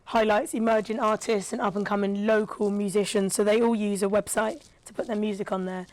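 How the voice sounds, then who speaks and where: A woman, a rapper and radio presenter, talking casually as a guest with a slightly raspy, tired voice and lots of fillers. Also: medium pitch, fairly even intonation, fairly quick, a little mellow, dry recording. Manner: chatty, thoughtful, with frequent fillers. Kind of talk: casual podcast conversation.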